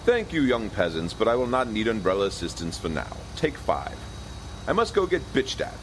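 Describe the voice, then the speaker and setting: A man's voice: fairly low pitch, huge pitch swings, moderate pace, noisy background. A man playing a pompous, dim-witted, stubborn king in a comedic audio drama, speaking in blustering, formal phrases. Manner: pompous, blustering, formal diction without contractions.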